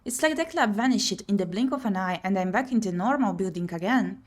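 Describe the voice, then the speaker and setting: A woman's voice: medium pitch, expressive, brisk. A woman streamer reading a visual novel aloud in first person and musing over the gameplay. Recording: music under the voice. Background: game music.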